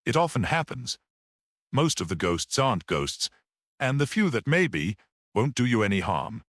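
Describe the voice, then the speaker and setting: A small priest voiced by a male narrator from an audiobook mystery, grave and courteous. He speaks in a measured, reassuring way with lively rises and falls, recorded very dry. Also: medium pitch, dry recording. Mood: calm, reassuring.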